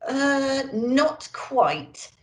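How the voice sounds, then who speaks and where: A woman's voice: sits high, expressive, very slow, echoey room, pitched above the performer's own voice, heavily acted. A woman voicing a little old male elf in a storybook, earnest, hesitant and apologetic.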